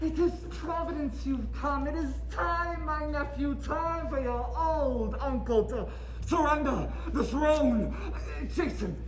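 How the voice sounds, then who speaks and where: A student actor playing a scheming old king who feigns doting frailty, with a melodramatic, drawn-out stage delivery. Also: high-pitched. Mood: feigned frailty. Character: scheming old king, falsely doting, cackling, wheedling uncle. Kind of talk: theatrical stage acting. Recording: echoey stage play recording.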